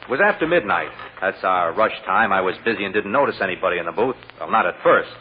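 An American actor playing a plain-spoken, slightly sheepish restaurant manager giving testimony in an old-time radio drama. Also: male voice, low-pitched, animated, quick pace, slightly rough, highs cut off. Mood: matter-of-fact. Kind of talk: 1940s-50s American radio drama acting. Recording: thin, echoing, noisy old radio recording.

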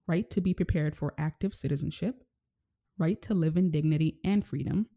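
Woman from Kenya as interview host; mellow, gentle, rambling casual talk. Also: low voice, normal speed. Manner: gentle, rambling, frequent "like" and "you know". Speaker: podcast host.

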